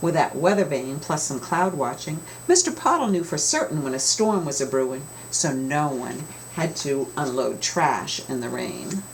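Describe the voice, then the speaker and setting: Woman, expressive storybook reader, very varied intonation, slightly low pitch, background noise. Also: normal speed, creaky, hardly any pauses, rough recording. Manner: animated, dramatic storytelling with varied emphasis. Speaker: author reading own picture book aloud. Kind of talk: expressive children's storybook read-aloud.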